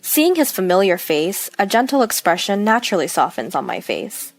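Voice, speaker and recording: A woman's voice: mid-range voice, big pitch swings, moderate pace, dry recording. A woman reading a scripted first-person story as its narrator.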